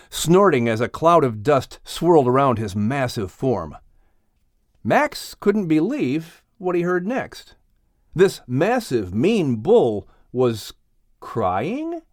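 A man narrating a children's animal story as an audiobook reader, in an expressive scripted style. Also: mid-range voice, no room sound, clean studio sound.

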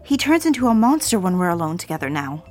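An actress playing an anxious, hurt young secretary who speaks politely and hesitantly, confiding in an audio drama. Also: female voice, on the low side, sing-song, fast talker, a little mellow, faint music behind. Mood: troubled, confiding.

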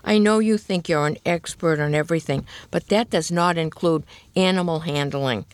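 A woman's voice: low-pitched, moderate pace, clear, resonant tone, no room sound, close to an everyday voice. A woman voicing an exasperated, frazzled man, a dog groomer and grown son, overwrought and pleading in a comic story. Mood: shrieking exasperation.

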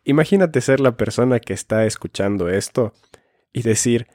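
A man's voice: mid-range voice, expressive, normal speed, a little mellow, dry recording, clean studio sound. A man in his twenties from Finland, co-hosting a conversational podcast. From Finland, has lived in Ecuador. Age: 20s. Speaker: podcast co-host.

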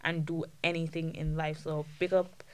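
Young Jamaican woman, low and lively. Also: moderate pace. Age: young adult. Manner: animated, laughs and jokes, speeds up when excited. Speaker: student podcast guest. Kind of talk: casual chat.

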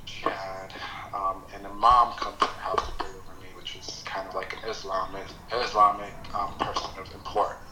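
A man from Nigeria whose first language is Yoruba, telling his life story slowly and reflectively as a podcast guest. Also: sits low, level tone, pushed and bright, roomy sound, faint music behind, low-quality recording. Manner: slow, reflective, hesitant with pauses. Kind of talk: personal storytelling.

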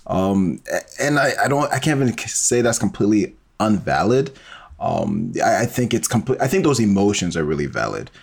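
Deep, husky-voiced man talking thoughtfully. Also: sing-song, a little fast. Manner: hesitant, thoughtful, searching for words. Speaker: podcast guest, fiction podcast creator. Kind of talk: casual reflective conversation.

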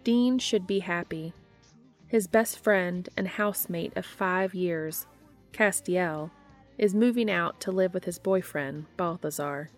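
A woman's voice: lowish voice, takes it slowly, soft background music. A woman hosting a podcast, reading a story aloud in scripted audiobook-style narration. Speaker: podcast host reading fan fiction aloud.

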